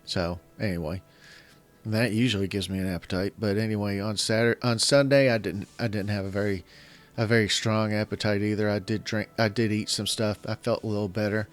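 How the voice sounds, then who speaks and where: A man with a slightly scratchy voice, casually commenting while he plays a strategy game and thinking aloud in halting, self-correcting phrases. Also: fairly low pitch, fairly even intonation. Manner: thinks aloud, halting, restarts mid-sentence. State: slightly scratchy voice from illness and yelling. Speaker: gaming channel host. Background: faint game music.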